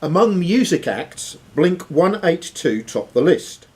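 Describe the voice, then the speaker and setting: A man's voice: fairly high pitch, very animated, unhurried, darkish tone. A man working as quizmaster, reading quiz questions aloud to contestants. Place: social club room with players around. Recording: noisy live room recording.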